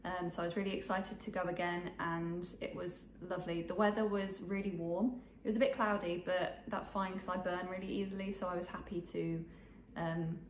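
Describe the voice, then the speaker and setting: A woman's voice: fairly low pitch, flat delivery, moderate pace, few pauses, reverberant, slight background noise. A woman in her twenties with a bit of a cold, chatting casually about sewing to camera from her sewing room, rambling with lots of ums. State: snuffly from a cold. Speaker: sewing vlogger. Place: home sewing room, indoors.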